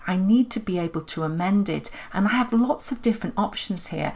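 A woman narrating an explanatory step-by-step software tutorial as its presenter. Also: medium pitch, animated, fairly quick, vocal fry.

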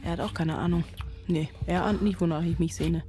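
A woman's voice: on the low side, steady tone, fairly quick, dark tone, lightly acted. An actress playing an anxious, overwrought single mother, brooding and confessional in a radio play monologue. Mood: brooding, yearning. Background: music.

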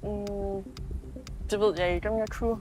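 A young woman speaking in a flat, level tone, choosing her words carefully and hedging. She chats casually outdoors at night, and faint music plays underneath. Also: medium pitch. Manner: hesitant, hedging. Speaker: reality show contestant. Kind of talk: casual conversation. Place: outdoor villa terrace at night.

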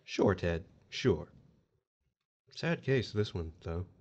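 A man plays a wry, officious spirit who collects the dead in a horror audio drama. His pitch swings theatrically through formal explanations, on a slightly muffled recording. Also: animated, slow pace, darkish tone, slightly rough, full character voice. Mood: wry, apologetic. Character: smooth-talking, officious male otherworldly collector of souls.

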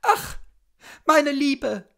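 A dying gentlewoman from a storybook, acted out by a man, pious and reproachful. The voice is raised high, plaintive and fervent, drawn out as she laments. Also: big pitch swings, extremely slow, vocal fry, full character voice. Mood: impatient. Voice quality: weak, plaintive.

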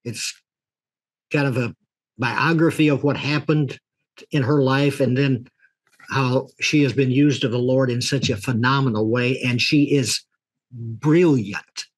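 Older man hosting, slightly husky voice. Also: mid-range voice, steady tone, measured pace, rough recording. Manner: rambling, folksy, with many restarts and fillers. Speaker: host. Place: office with a leather chair.

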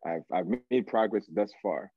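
A young man from Toronto, Canada, chatting casually as an interview guest, halting and repeating words as he thinks, over a video call. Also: fairly low pitch, breathy edge, no room sound. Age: young adult. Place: indoor room at home, video call. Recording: narrow, phone-like video call audio.

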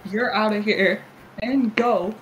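A woman's voice: medium pitch, takes it slowly. A woman ad-libbing a joking cartoon fandub in a casual, irreverent way. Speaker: amateur fandub voice performer. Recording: noisy amateur home recording.

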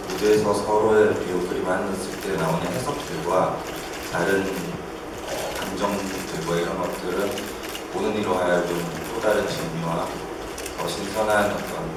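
A middle-aged man, a producer, answering at a press call in a measured, halting, formal way. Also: deep voice. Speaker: producer and theatre company head. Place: theatre stage, recorded from the audience. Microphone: handheld mic through PA, distant camera. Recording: very echoey, distant, loud noise.

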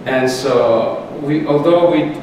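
A man's voice: on the high side, a little mellow. Man in an echoey hall. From Solomon Islands. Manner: measured, with frequent pauses and restarts. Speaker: lecturer. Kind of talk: answering audience questions. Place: large lecture theatre. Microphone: distant room mic. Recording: echoey hall from far off, background noise.

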